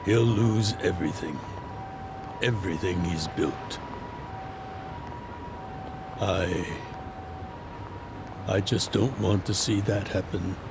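An actor playing an older retired yakuza boss, weary and fatherly, in a slow, measured, reflective manner. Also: low voice, background noise. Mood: calm, reflective. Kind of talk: video game cutscene dub.

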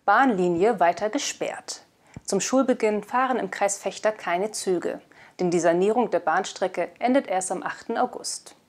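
A woman's voice: medium pitch, moderate pace. A woman in her twenties presenting scripted news in a studio, recorded on a lapel mic. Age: 20s. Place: indoor studio.

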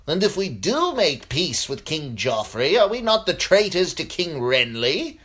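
A man voicing an anxious, argumentative lord in a fantasy audiobook, loud and urgent. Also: a little high, big pitch swings, measured pace, husky, voice pushed up, full character voice. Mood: urgent doubt. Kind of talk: audiobook fantasy character acting.

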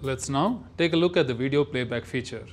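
Male narrator for a scripted tech demo, higher-set voice than most, faint music underneath. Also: a little fast.

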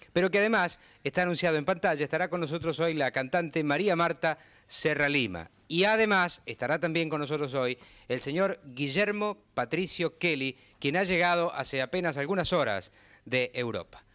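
A man's voice: on the high side, normal speed, a bit bright. A man in his thirties hosting a TV talk show, questioning a guest with handheld mic in a studio and dropping brief encouraging interjections.

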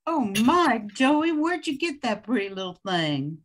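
An older woman plays a hospitable Creole grandmother who loves her kitchen. She speaks slowly in a slightly raised voice, in the homely manner of an amateur radio drama. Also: a little high, big pitch swings, a little hiss or hum. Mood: polite, caring. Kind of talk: amateur radio play acting.

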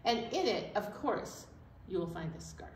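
A woman's voice: medium pitch, sing-song, moderate pace, noisy background. A middle-aged woman hosting a children's library storytime, talking simply to young children. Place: indoor library storytime room. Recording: echoey room, microphone far off.

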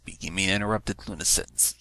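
A man's voice: deep voice, steady tone, fast, bright, forceful tone. A man narrating a written story, reading it aloud as scripted prose.

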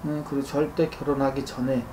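A man's voice: mid-range voice, level tone, brisk, warm tone. A man in his thirties giving a fortune-telling consultation in a blunt, admonishing manner, often prompting with short questioning grunts. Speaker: shaman fortune-teller. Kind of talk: spoken consultation, informal advice. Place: small indoor consultation room. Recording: roomy echo, faint background noise.

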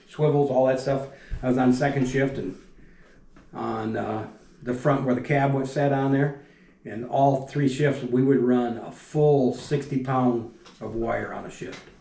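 An older man, a retired factory welder, telling plant stories in a folksy, rambling way that often drops off quietly. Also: medium pitch. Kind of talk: casual storytelling. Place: dining room at a wooden table. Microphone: camera across the table. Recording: echoey room.

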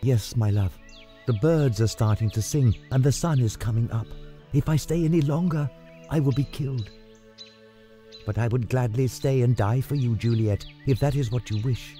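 A man's voice: mid-range voice, normal speed, mellow, faint music behind, voice pushed up, natural voice. A man playing a passionate, lovestruck young nobleman in a dramatized storybook, earnest and theatrical. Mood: tender, earnest.

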